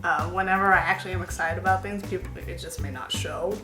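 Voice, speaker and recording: A woman's voice: fairly low pitch, steady tone, fast, roomy sound, music in the background. A woman in her twenties chatting candidly to camera as a vlogger, rambling with lots of filler words. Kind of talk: casual chat to camera.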